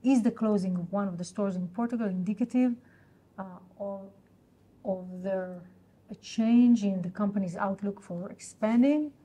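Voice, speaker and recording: A woman answering investor questions on an earnings call in a halting, businesslike, explanatory way. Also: medium pitch, dark tone, slightly rough.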